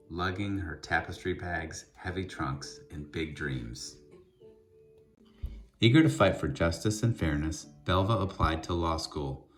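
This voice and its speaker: A man reading a children's book aloud in an expressive storytelling style, with deliberate, emphatic phrasing. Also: low voice.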